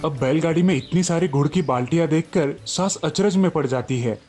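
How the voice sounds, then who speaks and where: Higher-voiced man narrating a children's story. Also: a little fast, darkish tone, faint music behind.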